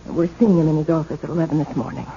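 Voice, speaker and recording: An actress with an American accent playing a brisk, coolly scheming and ruthless woman in a 1970s radio drama, speaking in a clipped, smooth explaining way. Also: deep voice, steady tone, dark tone. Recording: muffled, noisy old radio broadcast.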